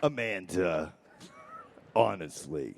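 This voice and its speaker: A man voicing a prim, disapproving scold who delivers a deadpan, comic lecture. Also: low-pitched, slow, vocal fry, loud music behind the voice, full character voice. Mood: disapproving, concerned.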